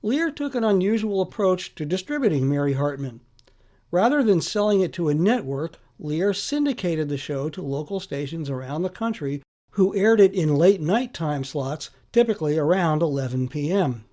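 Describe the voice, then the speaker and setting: A man hosting a podcast, reading scripted documentary narration in a measured, deliberate way with emphasis on lists. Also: fairly high pitch, big pitch swings, warm tone.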